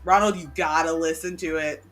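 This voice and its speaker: Rambling, chatty woman co-host, bright voice. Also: low voice, fairly even intonation, moderate pace, faint music behind. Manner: rambling, chatty, many ums and restarts. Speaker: livestream co-host. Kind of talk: casual live chat. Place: cool room at home. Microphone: home desk microphone.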